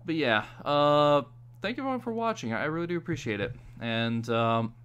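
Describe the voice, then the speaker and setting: A man's voice: a little high, very animated, normal speed, fairly bright tone, clean tone, highs cut off. A man from New York streaming a strategy game, chatting casually with plenty of fillers. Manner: laid-back, chatty, many fillers. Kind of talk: casual gaming chat.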